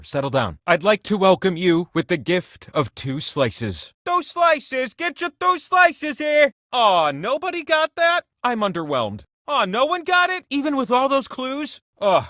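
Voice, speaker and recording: Game show wheel character: high, animated, eager, playfully grandiose host. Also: male voice, dry recording, studio quality, heavily acted. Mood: mock disappointed. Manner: theatrical, coaxing, playfully grandiose. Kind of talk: scripted game show hosting, theatrical.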